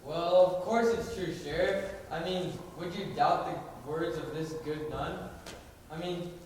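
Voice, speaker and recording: Far off in an echoey hall, a tongue-tied young lad acted by a man speaks up obligingly. He is slow and hesitant, with a fairly high voice for a male, in amateur stage-comedy style. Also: a little mellow, slight background noise, natural voice. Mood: awkwardly persuasive. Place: high school play on a stage.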